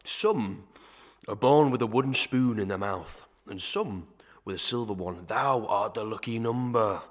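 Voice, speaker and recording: A man acts out a self-important, greedy parish clerk in a Victorian audio melodrama. His voice sits fairly low and he takes his time over every phrase. He lectures in archaic proverbs and scraps of Latin, with sly pomposity. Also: expressive, a little slow. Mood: pompous, lecturing. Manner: pompous, sententious, archaic speech with Latin tags.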